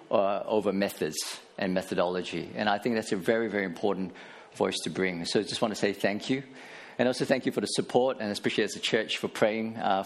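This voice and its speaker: A man in his forties, a pastor giving a casual sermon in church with many fillers and restarts. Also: lowish voice. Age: 40s.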